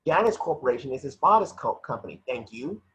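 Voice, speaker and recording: A man's voice: medium pitch, very animated, fast, a bit bright. A man playing a dogged, defiant woman police detective in an amateur online table read. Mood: sardonic. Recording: muffled video-call audio with noise.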